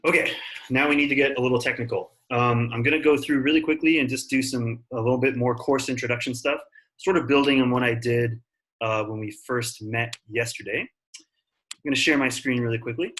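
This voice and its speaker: A man's voice: mid-range voice, fairly even intonation, fairly quick, fairly bright tone. An American man in his thirties teaching an online class, talking in a casual, friendly way with plenty of fillers. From Africa and Italy.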